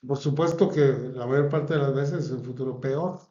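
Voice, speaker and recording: Mexican preacher in an echoey room. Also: male voice, mid-range voice, normal speed, mellow, dull audio. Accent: Mexican Spanish. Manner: earnest, repetitive listing cadence. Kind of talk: sermon.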